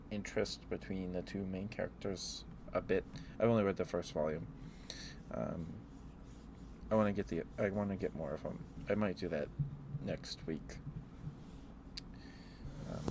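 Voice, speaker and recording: A man streaming rambling, hesitant ASMR chat in a meandering way, close to the microphone. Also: low-pitched. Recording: clearly noisy room ambience.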